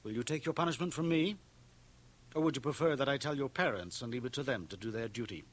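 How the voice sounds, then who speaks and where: An actor playing a stern, pious small-town minister, solemn and righteous, in a radio drama. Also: male voice, mid-range voice, fast talker, natural voice. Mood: outraged, severe. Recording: 1980 radio drama from home cassette transfer.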